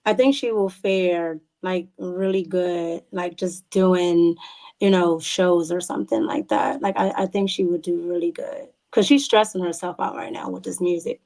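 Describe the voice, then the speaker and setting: A woman from the Bronx speaking as a podcast guest, chatting informally and haltingly, often repeating words. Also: on the low side, dark tone. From the Bronx, New York. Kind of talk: casual interview chat.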